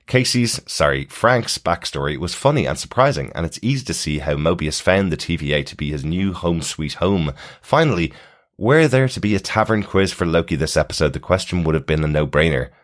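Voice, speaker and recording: A man hosting a podcast in a casual, chatty, conversational way. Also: low-pitched. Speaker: podcast host. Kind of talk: casual TV discussion, reading listener email.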